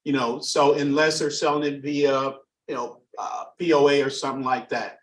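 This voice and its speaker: A slightly hoarse, froggy-voiced man giving an underwriting training presentation, halting with many fillers and restarts. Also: mid-range voice, little pitch movement. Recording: echoey room, far from mic.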